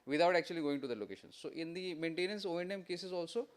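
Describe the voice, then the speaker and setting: A man's voice: on the high side, quick pace. A man with an Indian accent giving an off-the-cuff technical presentation at a workshop, explaining in a meandering, hesitant way.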